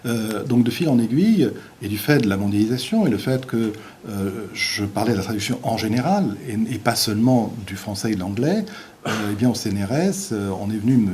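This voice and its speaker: A male visiting university lecturer, a native Portuguese speaker, giving an academic lecture in a halting way with many restarts and fillers. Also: fairly low pitch, lively intonation, darkish tone, slight background noise.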